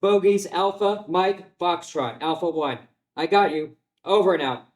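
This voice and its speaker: A man playing a brave, steady military pilot in a table read, speaking in clipped radio phrases. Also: high-pitched, a little slow. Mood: determined.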